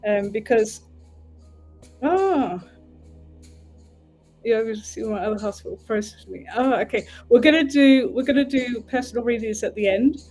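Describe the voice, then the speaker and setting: A woman's voice: a little high, moderate pace, pauses often, darkish tone, faint music behind. A woman from the UK with an English accent, hosting a casual livestream as a tarot reader, chatting in a meandering way and breaking off to fix her stream. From United Kingdom.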